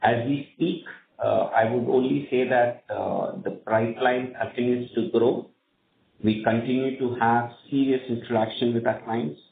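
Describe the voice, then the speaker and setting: A man with an Indian accent speaking as a company executive, answering questions on an earnings call in measured, hesitant phrasing. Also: mid-range voice, fairly even intonation, gravelly. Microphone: conference call line. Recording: tinny phone line, echoey room, faint noise.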